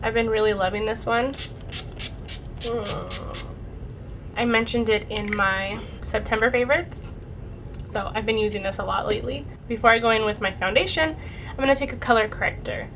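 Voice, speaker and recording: A woman's voice: on the high side, normal speed, background noise. Young woman with a congested cold voice. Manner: chatty, rambling, lots of "like" and "super". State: slightly raspy and congested from a cold. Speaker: beauty vlogger. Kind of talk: casual makeup tutorial chat.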